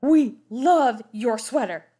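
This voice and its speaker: A woman voicing excitable circus clowns in a storybook, exclaiming and gushing effusively. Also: a little high, takes it slowly. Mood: delighted.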